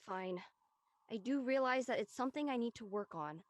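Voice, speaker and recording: A driven, uptight teenage girl, played by a woman in a scripted drama. She is blunt and earnest, with a fairly high voice and a very dry, close sound. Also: expressive, unhurried, no echo. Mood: earnest, stressed.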